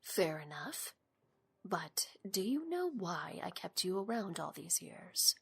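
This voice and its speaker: A woman playing a cool, self-assured young heiress with a breathy voice and wry delivery in an audio drama. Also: on the low side, big pitch swings, slow, heavily acted. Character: cool, confident young heiress, slyly confrontational.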